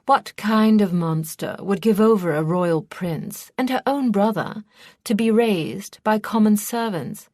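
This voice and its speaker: Woman as young princess, storybook character, raised pitch, haughty, defiant, emphatic, scheming. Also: a little slow, a little mellow, dry recording, clean studio sound, natural voice. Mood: indignant, defiant.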